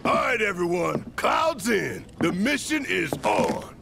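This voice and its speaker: Gravelly, hot-tempered rebel leader. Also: male voice, sits high, huge pitch swings, takes it slowly, shouting, background noise, full character voice. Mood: rousing. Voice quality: gruff, gravelly. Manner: swearing, commanding, slangy. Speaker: resistance cell leader. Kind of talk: cinematic video game acting.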